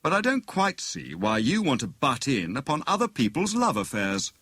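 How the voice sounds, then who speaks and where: Guy doing a pompous teasing husband detective from an audiobook, mock grand, really animated, dry close sound. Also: mid-range voice, normal speed, husky, dry recording, pitched above the performer's own voice, full character voice. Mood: mildly sceptical. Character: jovial, pompous husband playing amateur Sherlock Holmes.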